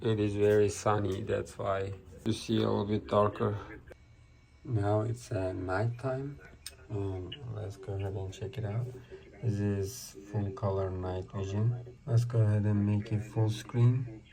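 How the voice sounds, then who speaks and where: A man's voice: lowish voice, steady tone, a little slow, creaky, dark tone. A man giving a casual product demonstration as a reviewer, in plain, step-by-step short phrases.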